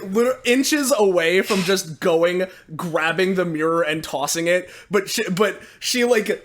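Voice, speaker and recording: A man's voice: high voice, a bit bright. A man casually describing his character's actions as a player in a tabletop game. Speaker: tabletop role-play player.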